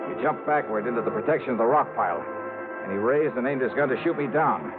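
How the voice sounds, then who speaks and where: An actor playing a tough, wry American insurance investigator in a 1950s radio noir drama. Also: male voice, mid-range voice, lively intonation, fast, phone-quality sound, lightly acted. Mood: grim, wry. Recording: thin vintage radio broadcast, loud music.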